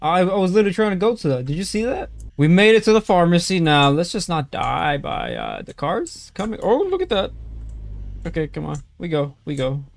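A man's voice: high voice, huge pitch swings, fairly quick, gravelly, no room sound. A young man streaming a horror game through a headset, reacting with jumpy, nervous exclamations and calling his viewers bro, his voice a little strained. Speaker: gaming streamer. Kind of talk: horror game playthrough commentary. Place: small room indoors.

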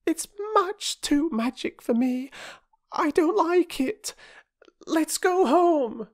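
A man reading a storybook aloud and voicing Phyllis, a timid young girl, in a breathy, whispery voice. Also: extremely high pitch, steady tone, drawn-out words, a bit bright, no echo, studio quality, pitched above the performer's own voice, exaggerated voice. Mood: frightened. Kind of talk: audiobook character voice.

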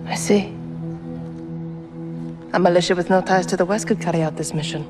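Young resistance leader played by a woman, low register, principled and intense, cinematic game scene over clear music. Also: fairly even intonation, moderate pace, natural voice. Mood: guarded, resolute. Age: young adult. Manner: firm, solemn, intense. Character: fierce, principled young female rebel commander. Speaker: actor in a military game cutscene. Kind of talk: cinematic dramatic game dialogue.

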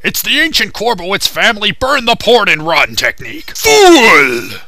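A man's voice: high voice, wildly swooping pitch, normal speed, creaky, sharp tone, dry recording, exaggerated voice. A man voicing brash, sarcastic fantasy warriors in an exaggerated comedic anime parody dub. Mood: indignant.

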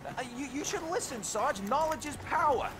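A man's voice: lively intonation, normal speed. An actor playing a nervy, talkative young soldier who eagerly shows off what he knows, in a video game. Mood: know-it-all. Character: chatty, nervy, know-it-all young soldier. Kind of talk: video game military comedy. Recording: music and helicopter rumble behind.

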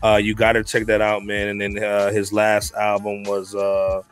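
A man co-hosting a casual podcast chat over a video call, animated and preachy, tacking "man" onto his sentences. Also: fairly low pitch, normal speed, faint music behind. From New York. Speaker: podcast co-host.

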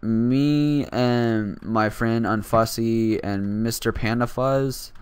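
A teenage boy hosting casual gameplay commentary, raspy from just waking up, rambling and self-deprecating with lots of fillers. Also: mid-range voice, slow pace, no echo. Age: teens. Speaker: gaming channel host.

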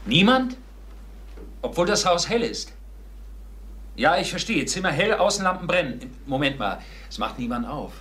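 An actor playing a brisk, procedural police officer in a radio crime drama. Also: male voice, fairly high pitch, big pitch swings, a bit bright, slight background noise. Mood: businesslike. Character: businesslike police officer relaying reports and orders.

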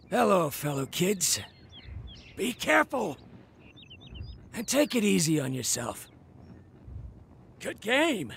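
An actor voicing a cynical, laid-back male huntsman with a raspy voice and wry humour for a video game. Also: on the high side, a bit bright, slight background noise, heavily acted. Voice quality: gravelly, raspy.

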